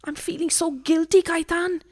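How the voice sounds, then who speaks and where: Woman playing a very high, fretful mistress. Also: steady tone, normal speed, darkish tone. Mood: guilty, petulant. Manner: fretful, melodramatic. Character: nervous, guilt-ridden, fickle married mistress. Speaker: radio play actor. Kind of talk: farcical radio play acting.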